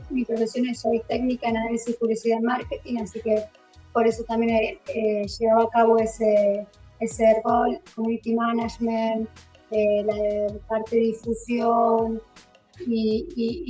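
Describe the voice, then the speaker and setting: A woman with a Córdoba Argentine accent answers interview questions in a fairly high voice. She talks hesitantly, with many fillers and repeated words. Faint music plays behind her. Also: warm tone, poor audio. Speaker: guest. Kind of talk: online interview.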